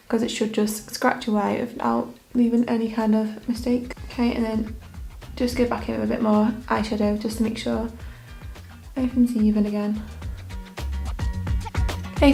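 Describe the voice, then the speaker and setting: A young woman makeup artist talking through a casual makeup tutorial in a hoarse, croaky voice, her delivery relaxed and monotone with plenty of fillers. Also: on the high side. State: croaky, hoarse voice after illness. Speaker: makeup artist and beauty vlogger.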